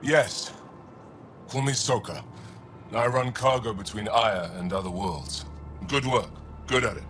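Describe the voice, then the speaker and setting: An actor playing a shrewd alien cargo trader in a video game, with blunt, clipped phrasing. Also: male voice, on the low side, measured pace, pauses often, a bit bright, a little hiss or hum. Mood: blunt, businesslike. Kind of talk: video game dialogue acting.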